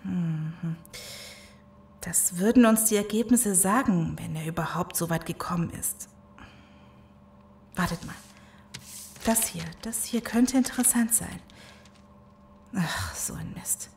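A woman's voice: on the low side, slow pace, vocal fry, pauses often, darkish tone, a little hiss or hum. A woman playing a thoughtful, troubled investigator in an audio drama, pensive and a little hesitant. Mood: searching. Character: thoughtful, troubled woman explaining a scientific mystery. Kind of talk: German audio drama acting.

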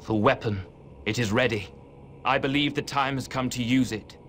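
A man's voice: mid-range voice, normal speed, fairly bright tone, slight background noise, close to an everyday voice. A man playing a cold, zealous military general in a video game, formal and clipped. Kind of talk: cinematic villain acting in game cutscene.

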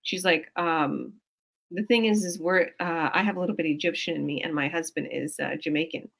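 A woman's voice: sits low, a little fast, creaky. Effusive woman on a video call. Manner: effusive, rambling, lots of restarts. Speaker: business coach hosting a team video call. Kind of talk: casual motivational chat. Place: small indoor room at home. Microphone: video call webcam.

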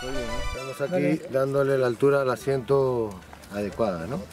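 A man's voice: medium pitch, fairly quick. A man casually guiding viewers on an outdoor bike tour, speaking to camera. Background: music.

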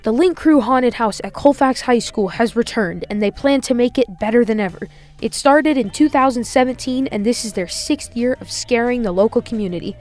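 A teenage girl with a fairly high voice reads a school news report. Her delivery is upbeat and clearly paced. Faint music plays under her. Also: normal speed, darkish tone. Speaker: student reporter. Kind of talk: scripted news voice-over.

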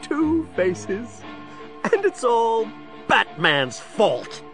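An actor playing a tormented district attorney cracking into a villain, brooding and theatrical, in a superhero audio drama. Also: male voice, very high-pitched, huge pitch swings, very slow, a bit bright, soft background music, full character voice. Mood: deranged. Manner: brooding, theatrical, dragging out words. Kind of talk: full-cast superhero audio drama acting.

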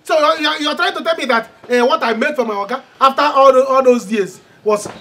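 An actor playing an indignant, greedy young Nigerian son, protesting insistently and repetitively in a film melodrama. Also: male voice, extremely high pitch, a little fast, pushed and bright, a little hiss or hum. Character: indignant, greedy adult son badgering his mother.

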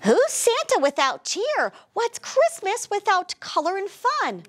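High, bouncy little crayon voices tumble over each other, eager and squeaky, straight out of a picture book, as a woman reading aloud puts them on. They sit well above the reader's usual pitch and leap around. Each line sounds like a child crayon begging to help. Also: wildly swooping pitch, takes it slowly, full character voice. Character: small excited talking crayons, childlike. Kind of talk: storybook read-aloud.

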